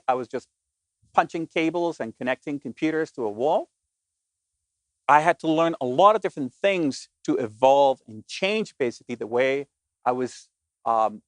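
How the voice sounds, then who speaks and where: A man's voice: medium pitch, no room sound. A middle-aged man from Colombia, giving an earnest business keynote with frequent restarts. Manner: earnest, many restarts and filler words. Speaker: conference speaker.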